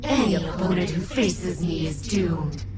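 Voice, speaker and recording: A cryptic, supernatural female wrestler, speaks with ominous menace in a video game. Her voice is fairly low and very slow, over loud music. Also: lively intonation.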